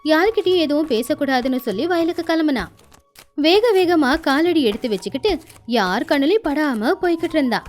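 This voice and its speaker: A woman's voice: high voice, lively intonation, brisk. A woman narrator telling a scripted moral story in a storytelling style. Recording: faint music underneath.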